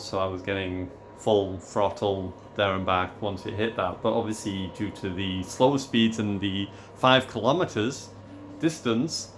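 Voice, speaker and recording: Hoarse man, rambling vlog talk. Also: on the low side, a little hiss or hum. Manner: rambling, repeats "obviously" and restarts often. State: losing his voice, hoarse. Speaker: gaming YouTuber.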